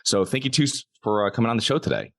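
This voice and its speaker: A man hosting a podcast, giving a brisk scripted sales pitch and asking guests questions. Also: big pitch swings, creaky, fairly bright tone. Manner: brisk sales pitch, repeats the web address. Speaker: podcast host. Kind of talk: scripted ad read and interview.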